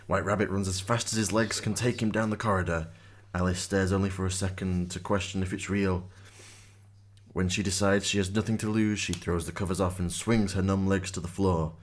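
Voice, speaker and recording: A man's voice: deep voice, steady tone, fairly quick, slight background noise. A man reading scripted narration as the storyteller of a radio drama.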